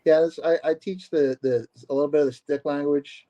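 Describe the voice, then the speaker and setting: A man's voice: fairly high pitch, fairly even intonation, fairly quick, mellow, dull audio. A man, a martial arts instructor, chatting as a podcast guest in a hesitant, easygoing way, often laughing. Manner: hesitant, many fillers and restarts, laughs easily. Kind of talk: casual podcast conversation.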